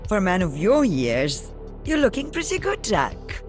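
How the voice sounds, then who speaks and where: An elderly woman, a battle-worn sniper and protective mother from a video game. Her delivery is measured and dryly wry, with a wide, expressive swing in pitch. Faint music sits behind her. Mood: wry, familiar. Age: older.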